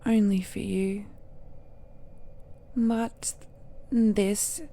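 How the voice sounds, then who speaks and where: Casting: woman as ancient cursed goddess, possessive romantic villain. Unhurried, mellow, intimate delivery that sinks into breathy whispers. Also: medium pitch, little pitch movement. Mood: wounded, menacing, then tender, possessive. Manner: slow, intimate, often drops to whispers. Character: ancient cursed deity, possessive, tender yet menacing villainess. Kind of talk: romantic villain audio roleplay monologue.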